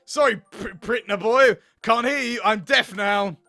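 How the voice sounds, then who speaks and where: A man's voice: high-pitched, a little slow, bright, forceful tone, no room sound, faint music behind. A man reading a visual novel aloud in a theatrical, silly, playful way, as a gaming video host.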